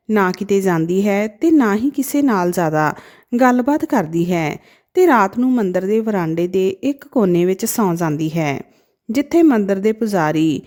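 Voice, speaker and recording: Mellow, expressive woman storyteller. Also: mid-range voice. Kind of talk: scripted narration of a sad moral story.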